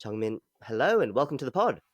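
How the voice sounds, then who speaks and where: Guy hosting a podcast, calm measured read, dry close sound. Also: medium pitch, slightly rough, dry recording. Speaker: podcast host. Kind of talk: scripted narration then interview. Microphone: video call.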